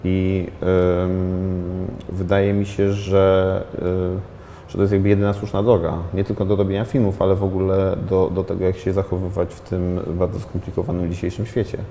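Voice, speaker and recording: A man in his thirties, a film director, giving a reflective interview in a studio set, thinking aloud with many pauses and restarts. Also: low voice, monotone, mellow, a little hiss or hum. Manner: thoughtful, hesitant, many fillers and restarts. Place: studio set in a large glass-walled building.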